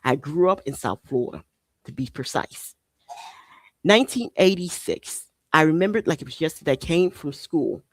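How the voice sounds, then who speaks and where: An impassioned host from Haiti, somewhere in their forties, speaks with emphatic conviction, hammering home points through rhetorical questions and repetition. The voice sits at a middling pitch, and the sound is close and dry with almost no room echo. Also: lively intonation, moderate pace. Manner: emphatic, rhetorical questions, repeats phrases for effect. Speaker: podcast host. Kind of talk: impassioned podcast monologue.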